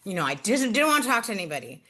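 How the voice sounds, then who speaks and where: Chatty woman with a bright voice. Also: medium pitch, very animated. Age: 30s. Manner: chatty, full of "like" and quick asides. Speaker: interview guest. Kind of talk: casual interview conversation.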